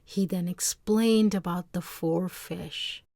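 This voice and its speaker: A woman reading a story aloud as narrator, with expressive, dramatic phrasing. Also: on the low side, slow pace, vocal fry, dry recording, clean studio sound.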